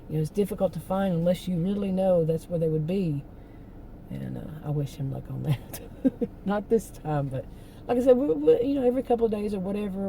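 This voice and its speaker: A middle-aged woman, hoarse from losing her voice, rambling and venting in a casual vlog from a parked car. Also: on the low side, mellow, slight background noise, rough recording. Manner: rambling, venting, with many restarts. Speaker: vlogger.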